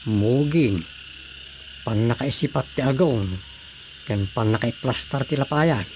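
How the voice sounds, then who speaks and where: A man's voice: medium pitch, unhurried, a little mellow, a little hiss or hum, natural voice. Chatty, confiding village man played by an actor. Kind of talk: radio drama comedy acting.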